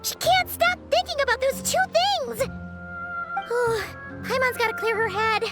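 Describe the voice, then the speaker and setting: A woman voicing a small, excitable, childlike fairy companion in a video game, squeaky and exclamatory. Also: measured pace, soft background music, heavily acted. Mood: flustered, excited. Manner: refers to self in third person, exclamatory. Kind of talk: video game character voice acting.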